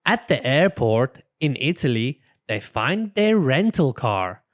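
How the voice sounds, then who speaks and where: An expressive storybook narrator for young children speaks at a slow, careful pace with a very lively range. The delivery is deliberate and clearly shaped for reading aloud, in a dry recording. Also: mid-range voice, dry recording. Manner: expressive, deliberate, voices the characters. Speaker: storybook reader.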